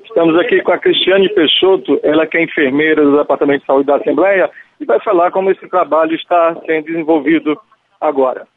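A man's voice: a little high, steady tone, a little fast. A man with a Brazilian Portuguese accent reporting live from the scene and interviewing a nurse. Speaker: radio field reporter. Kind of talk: live radio field report. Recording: tinny phone-line sound.

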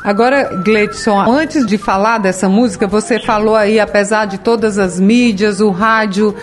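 A woman hosts a radio show. She asks questions in a friendly, conversational way. Her voice sits at a middle pitch. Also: fairly even intonation, normal speed, soft background music. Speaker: radio host. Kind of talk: radio interview hosting.

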